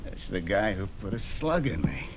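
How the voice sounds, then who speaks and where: An actor playing a surly, wounded gangster in a vintage radio drama, curt and menacing. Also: male voice, low voice, big pitch swings, moderate pace. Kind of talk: 1940s radio drama acting. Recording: muffled, noisy old radio broadcast.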